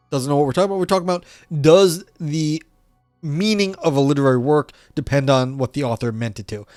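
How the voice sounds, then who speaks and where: Hoarse, scratchy-voiced man streaming. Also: medium pitch, a little mellow, no room sound. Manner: reads passages aloud then riffs casually, swears, self-deprecating. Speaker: streamer reading philosophy text. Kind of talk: casual livestream reading and commentary.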